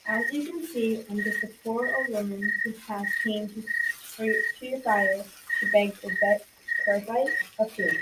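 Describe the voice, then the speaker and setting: A young female amateur playing a proud, mysterious poor old woman in a radio play, with halting, repetitive delivery. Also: mid-range voice, lightly acted. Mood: indignant, pleading. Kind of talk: amateur school radio drama acting. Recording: distant mic, loud background noise.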